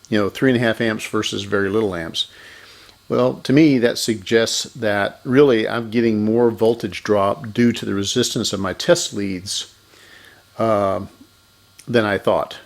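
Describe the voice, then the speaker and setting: Low, gravelly man. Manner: methodical, thinking aloud with self-corrections. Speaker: electronics repair host. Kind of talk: unscripted hands-on repair commentary.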